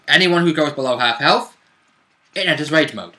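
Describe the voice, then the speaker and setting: Very bright, sharp-voiced male player. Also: a little high, normal speed. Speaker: player and co-host. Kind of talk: casual chat recapping a game session.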